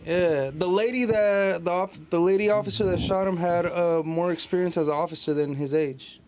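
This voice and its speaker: A man with a fairly high voice speaks as a podcast panelist. His talk is casual and full of slang. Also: fairly even intonation, normal speed, background noise.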